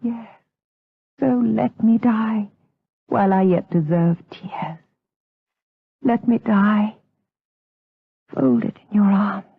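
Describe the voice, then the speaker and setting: Woman acting a breathy, slow-speaking gothic seductress. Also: medium pitch, no room sound, exaggerated voice. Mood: tearful, dying. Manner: melodramatic, slow, intense, formal archaic diction. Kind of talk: gothic radio drama acting. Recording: lo-fi, tinny 1980s audio drama recording.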